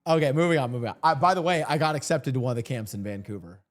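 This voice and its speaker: A man in his thirties hosting a podcast, joking and rambling with a guest and quick to laugh. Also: mid-range voice, expressive. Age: 30s. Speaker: podcast host. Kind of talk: casual podcast chat.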